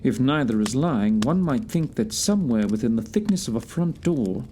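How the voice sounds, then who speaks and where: A man playing a composed, intellectual gentleman detective, precise and formal, in an audio drama. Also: mid-range voice, animated, normal speed, slight background noise, lightly acted. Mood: intrigued. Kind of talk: audio theatre acting.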